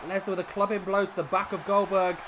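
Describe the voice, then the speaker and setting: A man, sniffly with a cold, commentating a wrestling video game play by play and getting excited at big moves. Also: high-pitched, quick pace, over a noisy crowd, heavy background noise.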